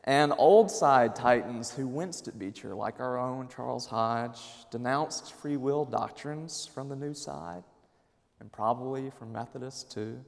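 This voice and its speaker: A man in his late thirties from Mississippi, a minister and seminary professor, speaks to an audience with a measured, thoughtful delivery. His voice has a gravelly edge at a mid pitch. The sound carries a long reverb from a large hall. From Mississippi, USA. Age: late 30s. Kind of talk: personal talk to an audience.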